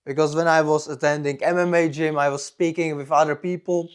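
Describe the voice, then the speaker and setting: A young man from the Czech Republic, a native Czech speaker with a strong Czech accent, gives a personal vlog monologue in deliberate, simple non-native phrasing. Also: on the high side, fairly even intonation, smooth. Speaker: vlogger.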